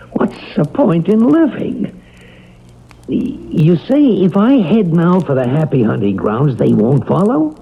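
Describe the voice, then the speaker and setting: An actor playing a weary, henpecked husband in a radio drama, gruff, blunt and slangy with a gravelly voice. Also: male voice, fairly high pitch, sing-song, slow, full character voice. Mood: dismayed. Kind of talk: 1970s radio drama acting. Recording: muffled vintage radio broadcast.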